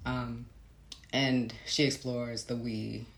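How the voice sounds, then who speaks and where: A woman's voice: rumbling low, flat delivery, takes it slowly. A woman giving a reflective, conversational interview as a novelist, thoughtful and hesitant, circling back as she builds her ideas. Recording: roomy, echoey sound.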